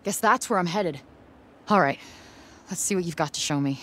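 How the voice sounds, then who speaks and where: An actress playing a plucky young video game heroine, muttering quietly and informally to herself. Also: female voice, fairly low pitch, normal speed, a little hiss or hum, close to an everyday voice. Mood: wry, subdued. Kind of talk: video game protagonist self-talk.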